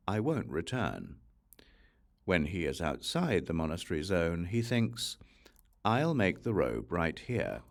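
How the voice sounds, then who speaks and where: Male audiobook reader, low voice, widely moving intonation, measured scripture recitation, short phrases.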